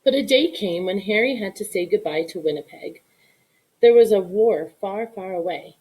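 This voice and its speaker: A woman giving an expressive read-aloud narration of a children's story. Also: lowish voice, rough recording. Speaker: storybook reader.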